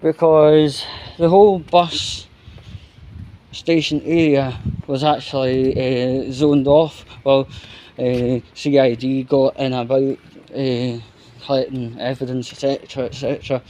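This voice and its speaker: A Scottish man giving an unscripted opinion monologue as a vlogger, in halting, broken phrases with repetition. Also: mid-range voice, level tone, warm tone.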